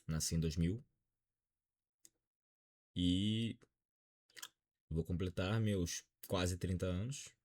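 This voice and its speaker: A man's voice: low voice, extremely slow, pauses often, darkish tone. A Brazilian man in his twenties with a Brazilian accent, giving a dejected, frank football opinion vlog. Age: 20s. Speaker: football commentator.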